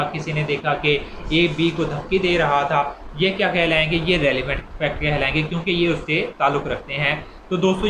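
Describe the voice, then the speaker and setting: Echoey recording of a Pakistani male lecturer. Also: a little high. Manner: repetitive, step-by-step explaining. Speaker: law lecturer. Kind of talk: informal lecture, explaining with examples. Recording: echoey room with clear background noise.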